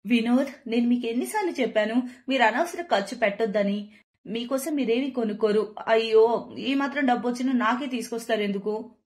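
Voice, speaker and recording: Casting: female performer as humble young mother, caring and dutiful, slightly high voice, moral storybook tale. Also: fairly quick, a little mellow, close to an everyday voice. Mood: fond chiding. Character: poor, dignified, caring young wife and mother. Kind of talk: animated moral story voice acting.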